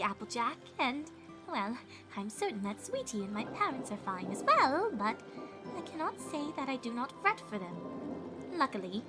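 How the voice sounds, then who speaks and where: High female voice, woman acting genteel unicorn lady, theatrical, animated pitch, music underneath. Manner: theatrical, genteel, calls others darling. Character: refined, dramatic young female unicorn pony, genteel. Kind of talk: fan fiction character voice acting.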